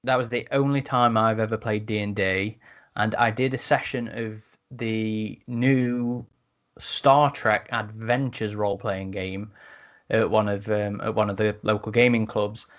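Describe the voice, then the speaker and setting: A man in a casual podcast discussion as a host, speaking a little haltingly and repeating words as he goes. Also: lowish voice, fairly even intonation, a bit bright.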